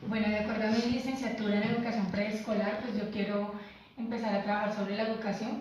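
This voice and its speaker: A woman teacher speaking in a panel discussion, explaining at a steady pace with frequent fillers. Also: medium pitch, muffled recording, slight background noise. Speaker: teacher, preschool education graduate. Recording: echoey room, far from the mic.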